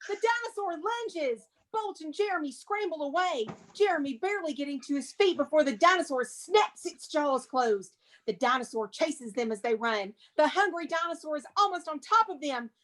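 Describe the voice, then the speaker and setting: Woman reading script directions out loud, really high voice, dramatic but chatty asides. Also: expressive, normal speed. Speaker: narrator reading stage directions.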